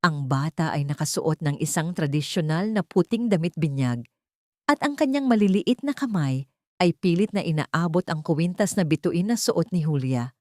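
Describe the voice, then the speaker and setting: Low-voiced woman narrating a drama. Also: normal speed, dry recording, studio quality. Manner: steady, dramatic storytelling. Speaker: audiobook narrator.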